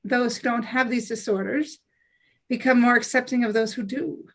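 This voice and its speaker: Woman w slightly high voice, casual chat, blunt but apologetic. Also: fairly even intonation, moderate pace. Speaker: discussion participant.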